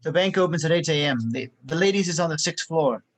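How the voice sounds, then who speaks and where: A man's voice: a little high, fairly quick, close to an everyday voice. A man reading a businesslike spy handler. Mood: briefing. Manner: briefing, businesslike. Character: precise male tech handler giving a briefing. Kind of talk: table read.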